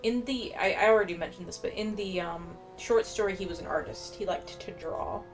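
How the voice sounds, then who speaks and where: A woman's voice: mid-range voice, fairly quick. A woman giving casual, slangy, meandering commentary as she plays a video game. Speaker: gameplay streamer. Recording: game music faintly behind.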